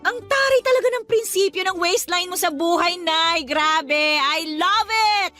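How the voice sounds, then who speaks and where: An actress playing a nosy, teasing young adult daughter in a radio drama, with a Filipino accent and dramatic, slangy banter. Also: female voice, very high voice, measured pace, pushed and bright, soft background music, full character voice. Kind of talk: Tagalog radio drama acting.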